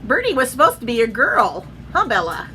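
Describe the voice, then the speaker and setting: A woman reading a children's picture book aloud in an expressive storytelling manner, chatting in asides to her pet. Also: fairly high pitch, fairly quick, a little hiss or hum. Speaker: read-aloud host, teacher.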